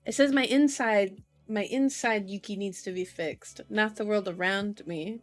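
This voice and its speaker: A woman's voice: medium pitch, a little slow, no echo, faint music behind. A woman hosting a casual livestream, chatting and musing aloud as she reads out cards, often drawing out her words. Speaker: livestream host reading tarot and horoscopes. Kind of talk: casual livestream chat mixed with reading aloud.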